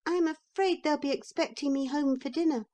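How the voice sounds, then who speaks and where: A plucky, indignant small boy in a storybook, played by a woman, high and fast. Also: mellow, close to an everyday voice. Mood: polite. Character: brave, curious, indignant little boy.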